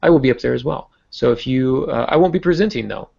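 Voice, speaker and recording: Man, creaky fry in the voice, easygoing podcast host, medium pitch, muffled lo-fi call audio. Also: fast talker, darkish tone. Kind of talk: casual podcast hosting.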